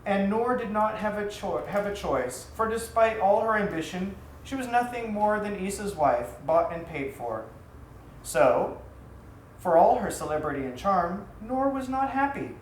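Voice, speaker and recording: A man reading his own story aloud as a theatrical storyteller, with a dramatic, deliberate delivery. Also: high voice, sing-song. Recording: roomy echo, faint background noise.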